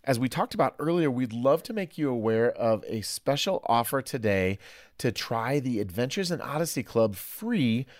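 A man's voice: medium pitch, animated, moderate pace, no echo, studio quality. A man hosting a podcast in a conversational style. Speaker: podcast host.

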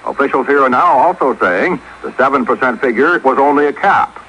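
Male correspondent, American, crisp bright tone, scripted report, faint background noise. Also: mid-range voice, expressive, fairly quick, poor audio. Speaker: radio news correspondent.

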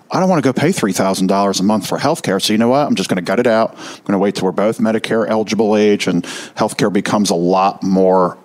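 A middle-aged man, a retirement financial advisor, explaining to listeners with energy and slowing down to stress key figures. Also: fairly low pitch.

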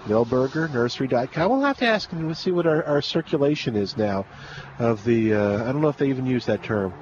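Male radio host, chatty and rambling, mid pitch, outdoor remote broadcast, muffled audio. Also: animated, brisk, darkish tone, a little hiss or hum. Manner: rambling and chatty, many fillers and restarts. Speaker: radio gardening show host. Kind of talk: live call-in radio chat.

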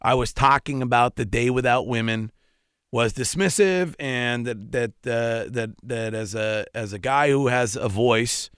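A man with a nasal voice hosts a bantering studio podcast. He stumbles and repeats words as he goes. The recording is dry and close, and his tone comes through smooth. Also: medium pitch, a bit bright, dry recording. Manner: halting, many repeated words and restarts. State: recovering voice after a week without one. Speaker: radio show host. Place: radio studio. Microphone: studio microphone.

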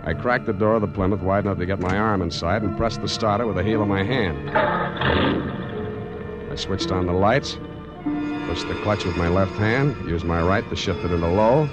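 An actor playing a wry, tough private detective in 1940s radio drama style, with clipped deadpan delivery. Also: male voice, low voice, normal speed, over loud music, lightly acted. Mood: brisk, wry narration. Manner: wisecracking, deadpan, clipped hard-boiled delivery. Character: wry, tough male private detective narrating his case.

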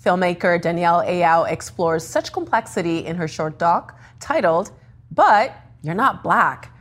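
A woman hosting a broadcast interview, delivering scripted introductions in a measured way. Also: on the low side, lively intonation. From Africa. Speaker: host.

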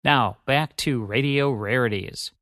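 A man's voice: medium pitch, measured pace, fairly bright tone, no echo, clean studio sound. An American man, a radio host, reading a scripted promotion in an announcer's manner. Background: music.

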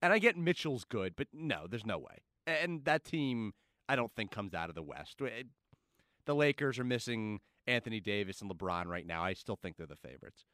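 A man's voice: lowish voice, lively intonation, moderate pace, fairly bright tone, no room sound, clean studio sound. A man co-hosting sports radio, emphatic and opinionated. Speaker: radio co-host.

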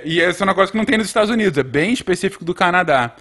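Brazilian male guest, casual chat, animated slightly high voice, halting delivery. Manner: explanatory, many hesitations. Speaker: podcast guest.

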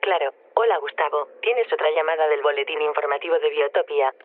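A woman's voice: sits low, fairly quick. A woman playing a helpful, literal-minded artificial intelligence reporter, speaking matter-of-factly over a radio link. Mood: literal, polite. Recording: narrow radio-link sound.

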